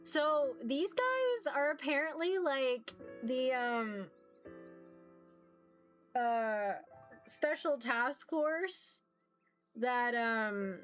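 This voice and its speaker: A woman livestreaming visual novels, chatting casually in a rambling, halting way. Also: sits high, expressive, a bit bright. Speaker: streamer playing visual novels. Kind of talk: casual livestream chat, reading game text aloud. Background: background music.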